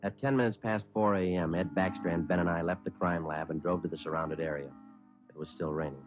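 A man playing a terse, matter-of-fact police detective who narrates his case deadpan in an old radio crime drama. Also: low voice, brisk, lightly acted. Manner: deadpan, understated. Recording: muffled old radio recording with music.

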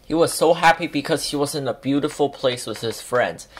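A woman reading a children's picture book aloud as an expressive storyteller. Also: very deep voice, fairly quick, slightly rough. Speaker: storybook reader.

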